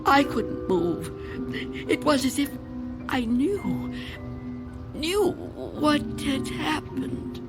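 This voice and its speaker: An actress playing a frightened, reclusive old woman in a radio drama, speaking in a halting, insistent, theatrical way. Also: high-pitched, big pitch swings, heavily acted. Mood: haunted, hushed. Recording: loud music under the voice.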